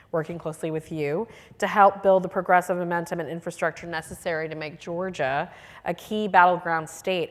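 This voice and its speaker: A woman's voice: lowish voice, big-room sound. A woman from Texas moderating a political panel, asking prepared questions. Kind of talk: panel interview.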